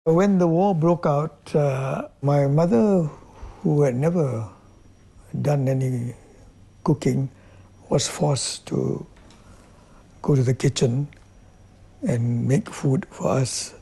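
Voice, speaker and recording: Slow, gravelly elderly man. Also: on the high side, dark tone. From Singapore. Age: 80s. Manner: slow, deliberate recollection. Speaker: interviewee recalling wartime. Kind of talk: documentary interview.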